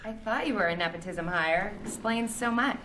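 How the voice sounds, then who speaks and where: An actress performing a sitcom woman from a script at a table read, in a very noisy room with a loud audience. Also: medium pitch, normal speed, echoey room, close to an everyday voice. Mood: teasing. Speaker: cast member at a table read.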